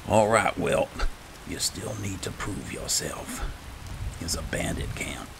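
Very deep, gravelly man commentating over game noise. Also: lively intonation, takes it slowly. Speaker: gameplay host playing a roleplay character. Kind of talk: in-character game commentary, muttered asides. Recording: rain and loud game noise behind. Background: game sound with rain.

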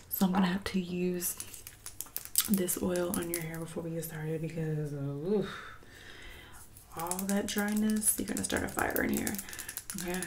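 A woman in her twenties doing an ASMR roleplay as a catty hairdresser friend, soft-spoken and often whispering, with condescending, passive-aggressive delivery. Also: on the low side, a little hiss or hum. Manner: condescending, passive-aggressive, drawn-out backhanded remarks.

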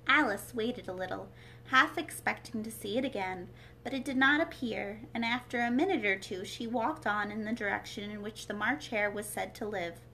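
A woman's voice: mid-range voice, moderate pace, pushed and bright. A young adult woman hosting a children's read-aloud, reading a story indoors at a little distance from the mic. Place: small living room, indoors. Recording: faint noise, home livestream.

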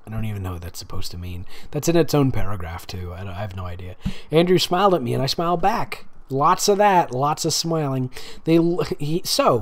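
Male reader-commentator, mid-pitched and very animated, sardonic and conversational. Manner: amused, sarcastic, breaks off to comment. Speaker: host reading fan fiction aloud with commentary. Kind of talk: dramatic reading with casual mocking asides.

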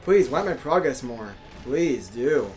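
A man's voice: mid-range voice, lively intonation, slow, a little mellow, faint music behind. A man giving casual, grumbling, sarcastic let's play commentary as he plays a video game. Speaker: gaming video host playing a boss fight. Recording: clear background game noise. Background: video game sound.

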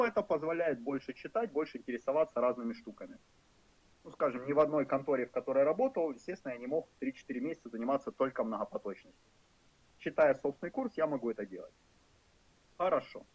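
A man's voice: fairly high pitch, breathy edge, dry recording. A man in his thirties with a Ukrainian accent, giving a programming lecture in a frank, wry, loosely rambling way with fillers. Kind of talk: conversational lecture. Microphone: voice-over for a screen recording. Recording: dull lo-fi lecture recording.

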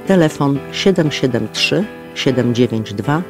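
A man's voice: medium pitch, expressive, unhurried. A man reading scripted closing credits as an announcer. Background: guitar music.

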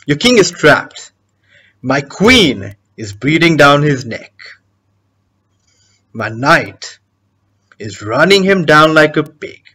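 A man performing a theatrical monologue as a smug, menacing schemer, taunting his victim in a deliberate, sardonic way. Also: fairly high pitch, fairly bright tone, heavily acted.

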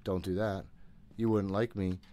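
A man's voice: deep voice, level tone, unhurried, dark tone. A man in his thirties giving casual, jittery, profane gameplay commentary, often talking to himself. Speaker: gaming host. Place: room at home.

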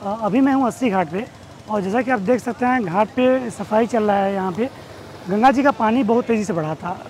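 A man, explaining things as a travel guide to camera, with pauses and English words mixed in. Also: high voice, a little mellow. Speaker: tour company host and guide. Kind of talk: informational travel vlog to camera. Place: outdoors on open riverside steps. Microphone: clip-on wireless lapel mic. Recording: noisy outdoor ambience, distant engines.

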